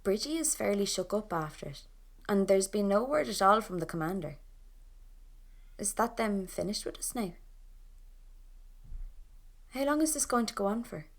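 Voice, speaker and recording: A woman's voice: mid-range voice, lively intonation, normal speed, creaky, pauses often. Wry young Irish rebel woman. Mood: weary, resigned. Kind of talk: first-person audio drama monologue.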